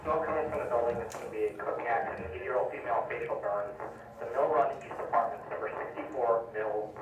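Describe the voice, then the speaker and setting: A man's voice: mid-range voice, quick pace, noisy background. Echoey, distant male radio dispatcher. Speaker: emergency dispatcher.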